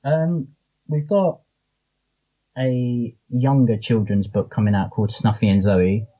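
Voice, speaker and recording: A man's voice: mid-range voice, steady tone, a little slow, warm tone, lo-fi sound. A man chatting casually as an interview guest, with an informal, joking manner, on a remote voice call. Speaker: podcast guest, comics charity founder and publisher.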